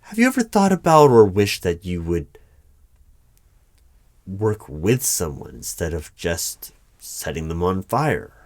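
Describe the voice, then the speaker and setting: A man playing a wary, unflappable hiring manager in an audio roleplay interview, speaking in a measured, formal, wordy way with hesitant pauses. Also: sits low, very animated, no echo, heavily acted. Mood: negotiating, persuasive. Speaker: roleplay performer. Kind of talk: one-sided ASMR audio roleplay interview.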